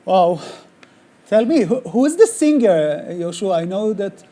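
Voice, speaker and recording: High-pitched, soft-toned male interviewer. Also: very animated, measured pace. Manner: warm, encouraging, with short interjections.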